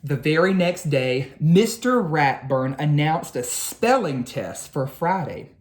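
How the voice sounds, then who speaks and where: Lady teacher reading a kids book out loud, lowish voice, really animated and unhurried. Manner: expressive, deliberate storytelling with drawn-out phrases. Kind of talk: animated read-aloud of a children's picture book.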